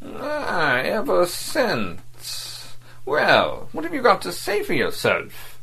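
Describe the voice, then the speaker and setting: A cynical, mocking diplomat sneers and needles his visitor, as a male narrator puts him on. The voice sits noticeably higher than the reader's own, clipped and sharp. It is a spoken storybook reading. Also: wildly swooping pitch, slow, creaky, husky, heavily acted. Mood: sneering.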